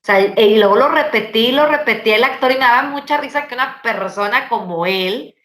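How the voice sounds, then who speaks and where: A woman's voice: a little high, a little fast, reverberant. A woman, hosting and telling stories in a casual chat with many fillers. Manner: animated storytelling, many fillers. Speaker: podcast host.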